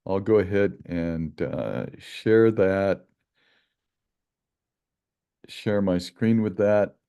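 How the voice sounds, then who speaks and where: Low, mellow-voiced man hosting a call. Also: drawn-out words, vocal fry, clean tone. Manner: relaxed, chatty, many fillers. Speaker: meeting host, project lead. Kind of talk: casual video call meeting. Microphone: video call.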